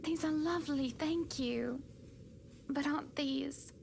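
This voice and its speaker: An actress playing a gentle, anxious wife in a visual novel game, soft and solicitous in manner. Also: female voice, sits high, takes it slowly, vocal fry, heavily acted. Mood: tender, hesitant. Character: gentle, anxious upper-class wife, adult woman.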